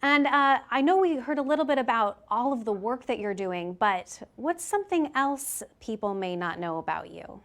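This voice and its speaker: Upbeat host, high-voiced woman. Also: animated, moderate pace, few pauses, a bit bright. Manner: upbeat and encouraging. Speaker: event host. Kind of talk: hosting an interview.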